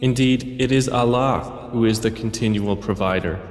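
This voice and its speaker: A man reading a scripture translation in a slow, solemn, even voice. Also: mid-range voice. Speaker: translation reader. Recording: echoey, long hall reverb.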